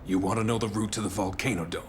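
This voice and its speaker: A man's voice: on the low side, fairly even intonation, fast, slight background noise, close to an everyday voice. An actor playing a wary male captive in a video game. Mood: bargaining. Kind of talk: English-dubbed video game cutscene acting.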